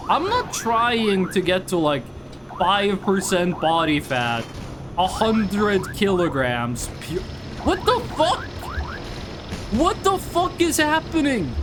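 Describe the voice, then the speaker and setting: Lively high-voiced man chatting. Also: background noise. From northern Norway. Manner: rambling, restarts sentences, reads chat aloud. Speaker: streamer. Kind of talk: casual live-stream chat while gaming.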